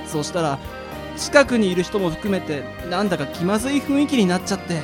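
A woman's voice: lowish voice, sing-song, fairly quick, over music. A woman playing a polite, earnest, easily impressed train hand strap in a comic radio drama. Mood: flustered storytelling.

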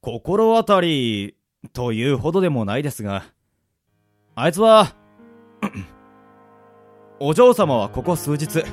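Man playing an easygoing swordsman, lightly high-pitched. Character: easygoing, roguish male fencing instructor, polite yet teasing. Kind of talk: radio drama acting. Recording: music behind the voice. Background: background music.

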